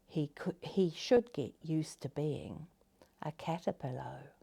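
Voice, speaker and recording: A woman reading a children's story aloud in an expressive, dramatic manner, dropping into hushed tones for effect. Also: sits low, slow, gravelly, breathy edge.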